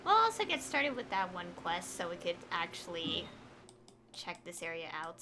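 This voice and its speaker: A woman's voice: fairly low pitch, big pitch swings, normal speed, noisy background. A woman giving casual, rambling livestream gameplay commentary, thinking aloud as she plays. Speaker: game streamer. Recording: game music behind the voice. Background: video game music.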